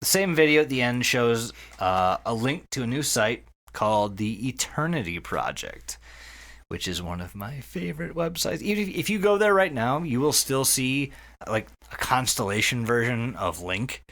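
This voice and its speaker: A man's voice: mid-range voice, big pitch swings, moderate pace, a bit bright. A man hosting a podcast, recapping a story casually and enthusiastically, swearing freely and repeating words. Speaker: podcast host.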